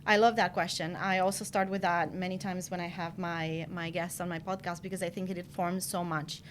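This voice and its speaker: A woman's voice: lowish voice, flat delivery, fairly quick, slight background noise. A woman in her forties from Romania, a guest talking through her career in an earnest, reflective way with lots of "you know" fillers. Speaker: podcast guest, marketing director. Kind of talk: casual interview chat.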